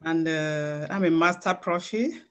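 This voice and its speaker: A middle-aged woman giving an earnest, halting personal testimony before a training presentation. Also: deep voice, a bit bright. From Cameroon.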